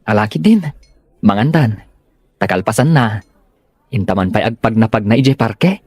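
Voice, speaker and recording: A man's voice: lowish voice, moderate pace, darkish tone, breathy edge, no room sound. A man playing a courteous, smitten young suitor, polite and earnest, in a comedy radio drama. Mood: hopeful.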